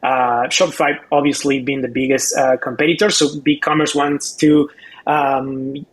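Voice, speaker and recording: A man's voice: mid-range voice, little pitch movement, moderate pace, poor audio. A man giving casual expert commentary as a co-host, explaining step by step and often repeating words as he goes.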